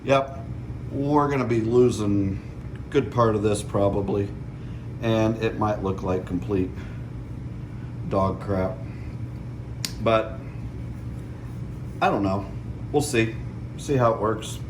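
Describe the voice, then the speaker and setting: Low-voiced man, casual DIY vlog, noisy. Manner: self-deprecating, crude, halting thinking aloud. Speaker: host of a DIY truck modification vlog. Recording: clear background noise and ambience.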